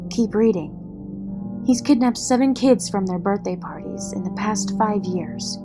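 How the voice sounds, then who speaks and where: A woman playing a loyal, steady partner to a vigilante in an audio drama, frank and measured. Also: medium pitch, lightly acted. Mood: serious. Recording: dramatic music underneath. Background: music.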